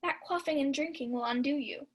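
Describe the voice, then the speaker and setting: Household maid woman in the role, radio Shakespeare: high voice, scolding, quick-witted. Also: natural voice. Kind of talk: student Shakespeare radio acting.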